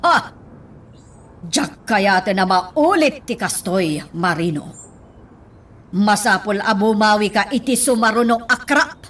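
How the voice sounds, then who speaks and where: A woman's voice: medium pitch, expressive, slow pace, long pauses, fairly bright tone. A woman playing a stern, suspicious lady boss who scolds and threatens in an Ilocano radio drama. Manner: commanding, threatening.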